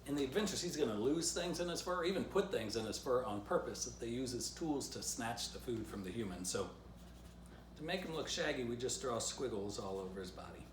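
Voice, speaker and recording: A man's voice: mid-range voice, expressive, a little fast. A man reading a storybook aloud and giving a casual drawing lesson to children, explaining things simply. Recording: roomy, distant mic, faint background noise.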